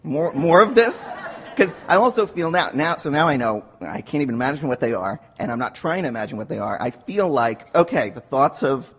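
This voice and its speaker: A man speaking casually and spontaneously as an audience member, husky, halting and repeating words as he thinks. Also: mid-range voice, very animated, fairly bright tone. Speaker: audience member asking questions. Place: seminar room with audience. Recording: live room with audience noise.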